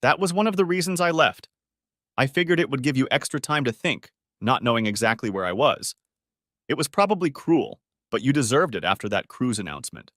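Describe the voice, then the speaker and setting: A man's voice: medium pitch, animated, a little fast, a bit bright, no room sound, clean studio sound, lightly acted. A man voicing a sarcastic, wounded husband in a dramatic story reading. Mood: accusing. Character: Steve, sarcastic, wounded husband needling his wife. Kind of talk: audiobook-style dramatic reading of dialogue.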